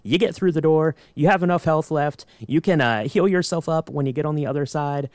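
A man's voice: on the high side, fairly quick, a little mellow, smooth, dry recording, studio quality. A man on a podcast panel, chatting casually in an easygoing, joking way. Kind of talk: casual chat.

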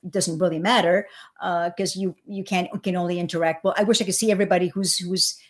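A woman's voice: fairly low pitch, steady tone, quick pace. A woman born in Cuba whose first language is Spanish, a speaker coach talking in an interview over a video call. She talks animatedly, with frequent restarts, and jumps her voice up when acting out quotes. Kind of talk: livestream interview.

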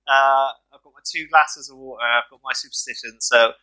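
A man co-hosts a podcast in casual chat, joking and telling lively anecdotes. His voice is bright and forward at a middle pitch. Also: a little fast, low-quality recording. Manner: jokey, animated storyteller. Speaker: co-host, mental health support worker.

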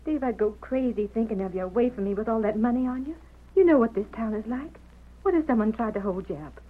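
Husky, fretful frontier wife. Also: female voice, on the high side, expressive, fast talker. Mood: pleading. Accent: American. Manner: fretful, pleading, emotive. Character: anxious, devoted frontier wife and mother. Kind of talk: old-time radio Western acting. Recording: muffled narrowband vintage radio recording.

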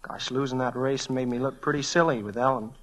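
A man's voice: medium pitch, fairly even intonation, a little fast, a bit bright, close to an everyday voice. A man playing a teenage boy rival, by turns smug and sheepish, in a 1940s radio drama. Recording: vintage 1940s radio recording.

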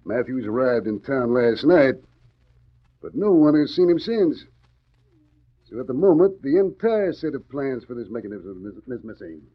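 An actor playing a blunt, stern older police inspector in a 1940s radio drama. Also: male voice, mid-range voice, very animated, moderate pace, slightly rough. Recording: muffled vintage radio recording.

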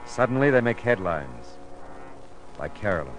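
An actor playing a world-weary American private detective in an old radio drama, laconic, wry and deadpan. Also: male voice, deep voice, normal speed, faint music behind. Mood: wry, brooding. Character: hard-boiled world-weary private detective, grown man. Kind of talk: 1950s American radio drama acting and narration. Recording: noisy old radio broadcast recording.

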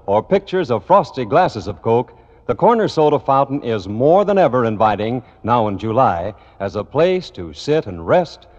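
A man's voice: mid-range voice, darkish tone. A man announcing in a scripted 1940s radio style, with a polished, genial sales delivery. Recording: dull old radio recording, long reverb.

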